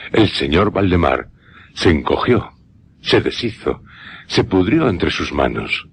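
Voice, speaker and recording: An actor with a Castilian Spanish accent as a grave narrator of a radio horror tale, solemn and deliberate with pauses. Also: male voice, rumbling low, a little hiss or hum, full character voice.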